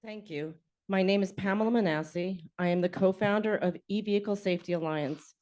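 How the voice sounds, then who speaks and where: A woman giving a prepared public comment at a community board meeting, speaking in an earnest, measured way at a podium microphone in a meeting hall. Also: mid-range voice, a little mellow.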